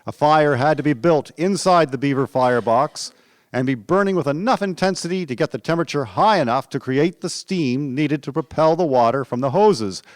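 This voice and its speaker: A man narrating a historical radio drama in a measured storytelling manner. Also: on the high side. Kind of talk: scripted documentary drama narration.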